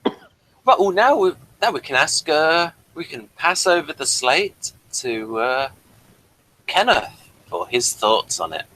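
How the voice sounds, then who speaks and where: Bright, animated man hosting casual banter. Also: a little high, slow pace, rough recording. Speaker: host of a review show. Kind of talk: casual panel chat, teasing banter.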